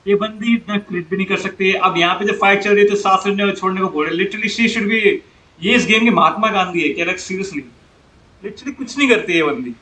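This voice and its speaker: A man's voice: high-pitched, rapid-fire, sharp tone, low-quality recording. A man with an Indian accent, chatting casually as he commentates on a live game stream. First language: Hindi. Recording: laptop stream with background noise. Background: game audio.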